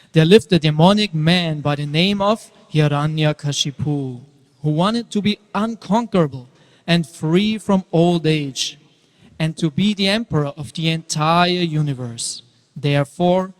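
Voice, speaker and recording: A man narrating a scripted mythological story for a dramatic stage reading. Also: on the high side. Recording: reverberant hall.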